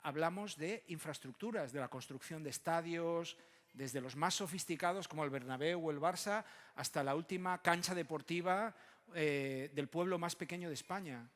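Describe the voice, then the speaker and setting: Higher-pitched Spanish man in an echoey hall. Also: fairly bright tone. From Barcelona, Spain. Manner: halting, frequent tag questions. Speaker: panel moderator. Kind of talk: conference panel introduction. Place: large conference room. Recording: echoey hall with long reverb.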